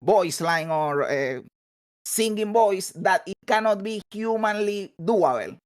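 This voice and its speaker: A man's voice: high-pitched, animated, slow, clear, resonant tone. A man in his thirties chatting as a guest over a video call, enthusiastic and friendly, calling people dude and brother. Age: 30s.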